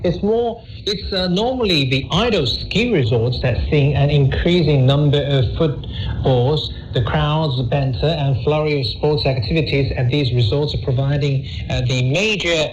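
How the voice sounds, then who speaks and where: A man anchoring a news bulletin, reading stories in a measured, formal way with the odd filler. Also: fairly high pitch, a little hiss or hum. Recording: muffled shortwave radio broadcast with music.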